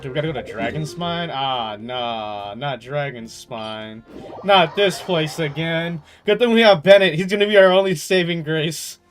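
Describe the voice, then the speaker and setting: A man's voice: fairly high pitch, lively intonation, moderate pace, a bit bright. A man giving casual gameplay commentary, rambling and musing to himself as he plays. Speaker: game streamer. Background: game music.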